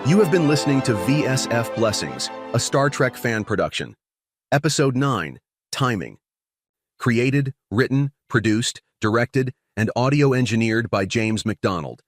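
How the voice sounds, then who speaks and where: A man's voice: mid-range voice, animated, unhurried, no echo, soft background music. A man reading scripted credits as an announcer. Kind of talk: scripted narration.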